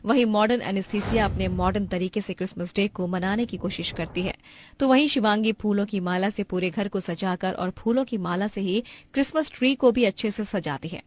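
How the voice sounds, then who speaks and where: A woman's voice: medium pitch, a little fast, slight background noise. A woman giving scripted narration for a cartoon family story.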